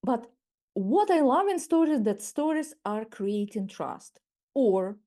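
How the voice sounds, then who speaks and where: A woman's voice: a little high, very animated, dark tone. Ukrainian-accented woman speaking on a webinar. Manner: measured, with frequent restarts and repeated words. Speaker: masterclass speaker. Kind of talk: webinar talk.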